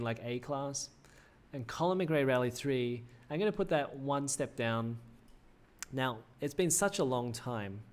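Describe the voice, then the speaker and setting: A man in his thirties hosting a casual gaming livestream, rambling with many fillers and restarts, his voice a little worn. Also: medium pitch. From Australia. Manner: rambling, self-correcting, frequent "uh" fillers. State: slightly losing his voice. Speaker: livestream host.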